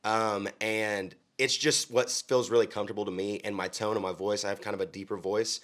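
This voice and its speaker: A man's voice: on the low side, fairly even intonation, a little fast, a bit bright. A young man from Oklahoma, a country singer, talking earnestly in a casual interview with frequent ums and restarts. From Oklahoma, USA.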